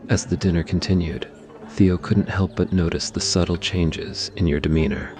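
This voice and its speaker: A man's voice: low-pitched, steady tone, moderate pace, a little mellow, faint music behind. A man narrating a scripted romantic ASMR story.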